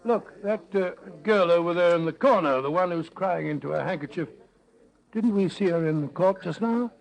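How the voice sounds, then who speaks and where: A man playing a skeptical, bumbling English gentleman in an old-time radio drama, blustering and stumbling over his words. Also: high voice, normal speed, gravelly, low-quality recording. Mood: startled, blustery. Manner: stammering, blustering, repeats words.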